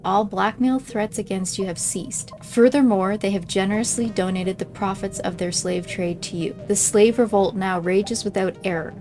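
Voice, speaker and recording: A woman's voice: medium pitch, fairly even intonation, normal speed, few pauses, darkish tone, soft background music. A woman reading messages from a video game aloud, as the creator of a gameplay video.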